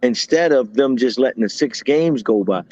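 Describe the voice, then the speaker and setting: A man co-hosting a podcast, chatting casually about football, talking in quick bursts and repeating himself. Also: medium pitch, no echo, dull audio. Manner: animated, talks in bursts with repeats. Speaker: podcast co-host. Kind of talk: casual sports chat.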